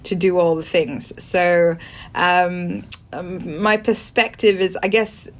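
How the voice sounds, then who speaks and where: A woman in her forties from Sweden with a British accent, a podcast guest who answers at length with many pauses, ums and restarts. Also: lowish voice, little pitch movement. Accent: British English. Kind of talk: conversational interview answers.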